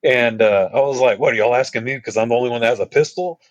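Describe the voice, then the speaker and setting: A man's voice: quick pace, dry recording, dull audio. A man from Texas, telling trail stories on a podcast in a rambling way, full of fillers and asides. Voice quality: deep voice. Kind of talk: casual storytelling.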